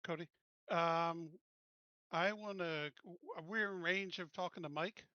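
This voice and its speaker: Bright, higher-voiced man playing a tabletop game. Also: a little slow, dry recording, lo-fi sound. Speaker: tabletop roleplaying player. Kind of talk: casual in-game planning talk.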